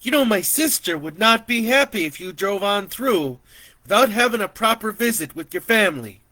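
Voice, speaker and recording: A man's voice: high voice, lively intonation, normal speed, sharp tone, pitched above the performer's own voice. A man voicing an emotional middle-aged aunt who pleads with her nephew, in a spoken story. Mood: eager pleading.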